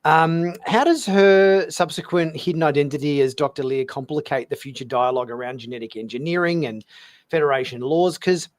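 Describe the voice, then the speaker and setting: A man's voice: a little high, brisk. A man hosting a casual discussion, feeling his way through roundabout questions in a hesitant manner. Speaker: talk show host.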